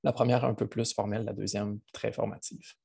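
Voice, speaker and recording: A Québécois man presents a teaching webinar over a video call, halting and full of fillers. His voice is low, dark and gravelly with a husky edge. The sound is dry and close. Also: little pitch movement, no echo. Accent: Québécois French. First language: French. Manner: hesitant, many fillers.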